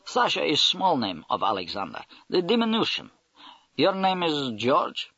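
A man's voice: on the high side, huge pitch swings, moderate pace, pushed and bright, husky, no room sound, lo-fi sound, voice pushed up, full character voice. A man reading an audiobook, voicing a big, blunt Russian army major with broken English and a Russian accent.

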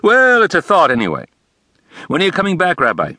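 Hearty middle-aged father, high and coaxing, put on by a male reader. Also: wildly swooping pitch, fairly quick, sharp tone, no room sound, full character voice.

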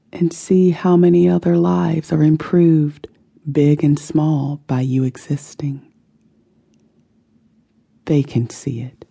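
A woman narrates a guided meditation in a very dark, relaxed voice with a breathy, husky grain. She speaks slowly and deliberately, soothing the listener and letting each phrase trail off softly. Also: deep voice. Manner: slow, soothing, deliberate delivery with soft phrase endings. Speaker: audiobook narrator.

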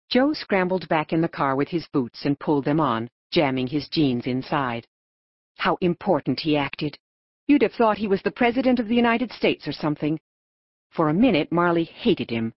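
Woman audiobook narrator, low pitch, expressive swings in tone, children's novel, scripted storytelling. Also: no room sound. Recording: dull, narrow lo-fi audio.

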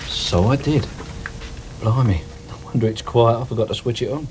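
An actor playing a brash, scruffy, wisecracking detective inspector with an English accent in a radio crime drama, blunt and colloquial. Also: male voice, mid-range voice, normal speed, noisy background, lightly acted. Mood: brisk, irritable. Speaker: police detective inspector character.

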